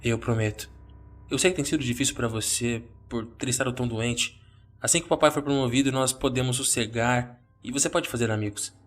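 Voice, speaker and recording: A man's voice: mid-range voice, brisk, faint music behind, close to an everyday voice. A man voicing a weary, reassuring father in a horror audio story.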